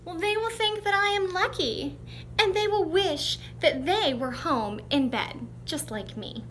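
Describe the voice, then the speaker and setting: A woman's voice: very high voice, measured pace, a bit bright, a little hiss or hum, pitched above the performer's own voice. A woman voicing a reluctant, anxious, whiny young girl in a storybook, drawing out her protests. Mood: sulky. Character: reluctant, whining, anxious girl dreading new school.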